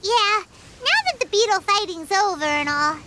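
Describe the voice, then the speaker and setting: A woman voicing a tiny cheerful, boastful fairy companion with a squeaky childlike voice for a video game. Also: animated, normal speed, heavy background noise. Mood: excited, playful. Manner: refers to self in third person, perky. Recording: loud game music behind.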